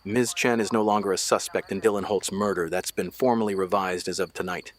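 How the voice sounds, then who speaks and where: An actor playing a guarded, tired small-town police officer in a noir audio drama, clipped and matter-of-fact. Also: male voice, low-pitched, steady tone, fairly quick, lightly acted.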